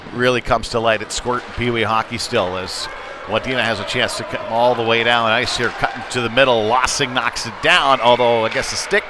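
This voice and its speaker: A man calling live youth ice hockey play-by-play in a folksy, colloquial way. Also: mid-range voice. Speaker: play-by-play commentator. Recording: clear background rink noise.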